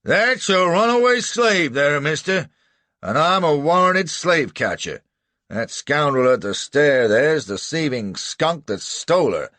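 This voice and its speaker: A man voicing a swaggering, crude male slave catcher who talks in rough slang. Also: a little high, animated, unhurried, pushed and bright, no echo, voice pushed up. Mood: defiant. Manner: rough drawling slang, defiant.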